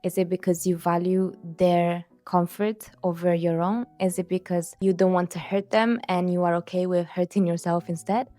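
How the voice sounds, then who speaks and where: Woman, lowish voice, calm earnest self-help chat, soft music behind. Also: moderate pace, few pauses. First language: Italian. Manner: calm, earnest, speaks straight to the viewer. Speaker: video creator. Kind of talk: reflective self-help monologue.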